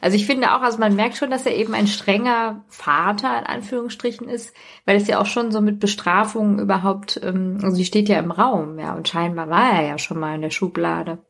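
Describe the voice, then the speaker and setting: A woman's voice: mid-range voice, steady tone, brisk, vocal fry, fairly bright tone, husky. A woman talking casually as a podcast co-host, retelling stories in a conversational way.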